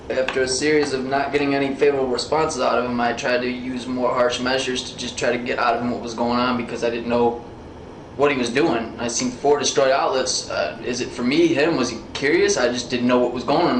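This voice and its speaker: A man's voice: mid-range voice, steady tone, fairly quick. An American man in his thirties answering questions in a police interview, talking informally and rambling, with some swearing. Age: 30s. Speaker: suspect being questioned by police. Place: indoor police interview room. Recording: echoey room, noisy interrogation audio.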